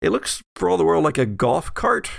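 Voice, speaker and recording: American guy running a tabletop rpg, gravelly fry in his voice, kinda halting and meandering. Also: on the high side, big pitch swings. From Texas, USA. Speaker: game master. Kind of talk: improvised tabletop game narration.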